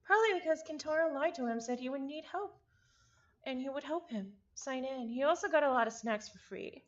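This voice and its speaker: High-voiced woman reading aloud, lo-fi mic. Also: animated, fairly quick. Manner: informal, self-interrupting, apologetic about name pronunciation. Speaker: reader of a visual novel. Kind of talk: casual read-aloud of a game script with ad-libbed asides.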